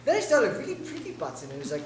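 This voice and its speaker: A young woman tells a silly improvised story, giggly and bewildered. Her high voice leaps around lively. The room around her is echoey. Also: very fast, a loud crowd. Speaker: improv player.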